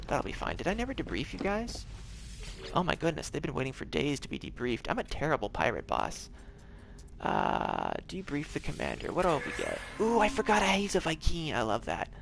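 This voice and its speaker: Man, game streamer, rambling casual commentary, lively pitch, noisy home mic. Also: fairly quick, vocal fry. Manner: chatty, rambling, trips over words. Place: home, gaming on a TV.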